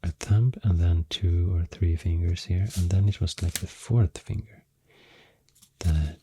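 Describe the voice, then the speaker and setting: A man with a Swedish accent softly rambling through an ASMR explanation, breathy and often near a whisper, soothing and hesitant in manner. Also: sits low, steady tone, a little slow, slight background noise. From Sweden. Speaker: ASMR host.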